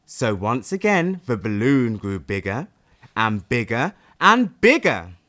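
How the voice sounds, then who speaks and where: A man reading a children's audiobook as narrator, with dramatic, expressive delivery. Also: mid-range voice, slow pace. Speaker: audiobook reader.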